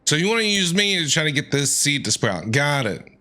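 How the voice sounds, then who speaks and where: A man's voice: on the high side, sing-song, brisk, background noise. A man streaming a game with playful, casual reaction commentary, talking back to the characters.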